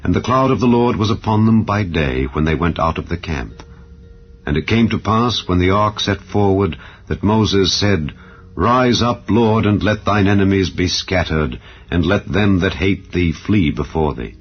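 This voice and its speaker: A man reads scripture as a dramatized narration, with a solemn, measured delivery. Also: deep voice, expressive. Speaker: Bible narrator. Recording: dull, band-limited audio with background music. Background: background music.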